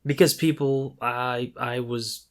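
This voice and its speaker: A man's voice: mid-range voice, fairly even intonation, slow, fairly bright tone. A man co-hosting a podcast in casual chat, speaking candidly with a jokey, self-deprecating manner. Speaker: podcast co-host.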